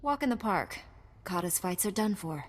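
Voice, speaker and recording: A woman's voice: medium pitch, animated, normal speed, lightly acted. Sci-fi game heroine, female actor: cool, self-assured knight, mid-range voice, hall-like reverb. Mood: cool, confident. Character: cool, confident female Jedi knight hero. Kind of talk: video game dialogue.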